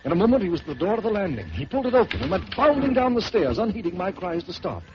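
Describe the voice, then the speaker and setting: A man hosting an old radio storytelling show, reading a ghost story aloud in a measured, theatrical way. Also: high voice, big pitch swings. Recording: muffled old radio broadcast with hiss.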